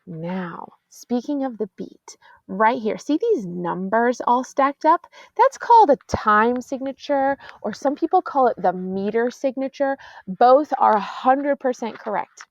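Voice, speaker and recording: A choir teacher, patiently explaining sheet music to middle school singers and counting beats aloud. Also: female voice, fairly high pitch, lively intonation, measured pace, no room sound. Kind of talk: classroom music lesson. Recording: dull, dry lo-fi audio.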